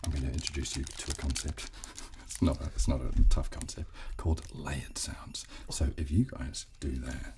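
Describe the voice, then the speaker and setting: A man in his thirties hosting a soft-spoken, casual ASMR tutorial in an unhurried, hushed voice that often slips into whispers, close on the mic. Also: very deep voice, steady tone. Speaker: host and ASMR instructor. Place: small furnished room, indoors. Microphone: desk condenser microphone, close up. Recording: close-mic with clicking and crinkling handling noise. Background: button clicks, crinkling.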